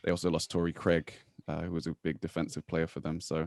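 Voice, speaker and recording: A young man co-hosting a basketball podcast, talking in a measured, agreeable way. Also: rumbling low, completely flat delivery, vocal fry, a little mellow, no echo, studio quality. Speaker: podcast co-host. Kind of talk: casual basketball chat.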